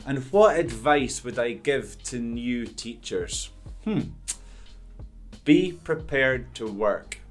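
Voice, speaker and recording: A Scottish man in his thirties, an English teacher, talking to camera at a studio mic in an upbeat, earnest, emphatic way. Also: mid-range voice. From Scotland. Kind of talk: direct-to-camera talk answering questions. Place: indoors before a green-screen backdrop. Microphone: studio condenser mic in front.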